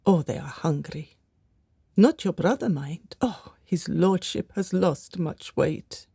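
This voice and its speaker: A fussing, devoted maid played by a woman in a radio drama. She has a low, husky, mellow voice and takes her time, fretting deferentially over her mistress. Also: expressive, slow, no room sound, studio quality, heavily acted. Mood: fussing, soothing. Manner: fretful, deferential, exclaiming. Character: fussing, pious, devoted lady's maid to a noblewoman.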